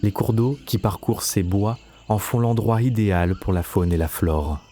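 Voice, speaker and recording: Deep, mellow male storyteller. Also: normal speed. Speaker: narrator. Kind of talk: scripted fantasy story narration. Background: faint music.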